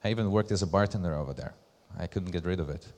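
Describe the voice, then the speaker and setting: A man from Slovakia with a Slovak accent, giving a conference presentation as a brand ambassador in deliberate, emphatic short phrases. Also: low voice, a little mellow. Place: large hall at a live event. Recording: echoey hall with long reverb.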